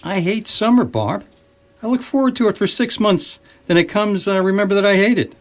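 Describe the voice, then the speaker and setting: A man's voice: high voice, brisk, natural voice. A man playing a grumbling, exasperated middle-aged husband who is fed up and wants to be a recluse, in a comedic radio sketch. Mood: gloomy, grumbling.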